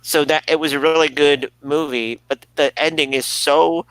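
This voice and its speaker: A man's voice: a little high, fairly even intonation, moderate pace, fairly bright tone, smooth, dry recording, poor audio. A man co-hosting a talk show in casual, unscripted conversation.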